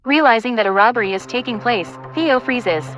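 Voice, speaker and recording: A woman narrates scene directions for an audio play in a fairly high voice. She reads as a scripted narrator, with prominent music behind her. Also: a little fast, a bit bright, poor audio. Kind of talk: scripted screenplay narration, reading stage directions.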